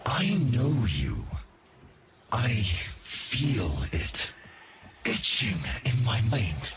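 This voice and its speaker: Imperious machine warlord, wildly swooping delivery. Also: male voice, mid-range voice, big pitch swings, background noise. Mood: menacing. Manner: menacing, theatrical, deliberate. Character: scornful, imperious male machine-being warlord, wounded son. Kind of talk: cinematic game villain acting.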